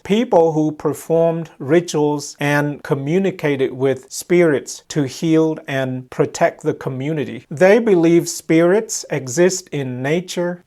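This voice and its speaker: Slow-paced male documentary presenter. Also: fairly high pitch, a little mellow. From Central Highlands of Vietnam. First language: Jarai. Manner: measured, explanatory. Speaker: anthropologist and presenter. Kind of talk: scripted documentary narration to camera.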